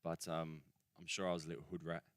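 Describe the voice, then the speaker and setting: A man's voice: low-pitched, flat delivery, fast talker, no echo, clean studio sound. A young man with a mixed English and Australian accent, chatting casually as a football guest on a radio show. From England. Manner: laid-back, slangy, trailing fillers. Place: radio studio.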